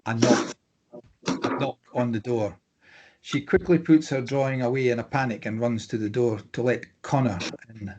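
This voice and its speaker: A man's voice: mid-range voice, steady tone, normal speed, darkish tone, a little hiss or hum, poor audio. A man reading stage directions as scripted narration at a drama table read.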